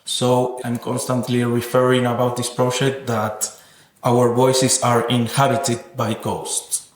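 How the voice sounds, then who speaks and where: A man's voice: mid-range voice, completely flat delivery, slow pace, slight background noise. Man speaking evenly in an echoey room. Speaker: interviewed sound artist. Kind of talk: reflective interview about own work.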